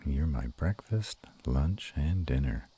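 A man playing a gruff but tender were-dragon lover in an intimate romantic audio roleplay, murmuring slowly and haltingly in a gravelly, husky voice. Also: a bass voice, expressive, dark tone, heavily acted. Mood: playful growling.

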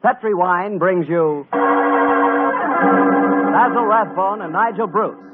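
A man's voice: high voice, slow, loud music behind the voice. An American man announcing and hosting a 1940s radio show in a folksy, polished, salesmanlike way. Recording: muffled vintage radio broadcast, husky sound.

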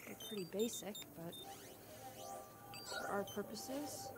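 An actress playing an earnest, resourceful young female survivor in a video game, speaking in a soft-spoken way. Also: mid-range voice, expressive, takes it slowly, very noisy. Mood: earnest, thoughtful. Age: young adult.

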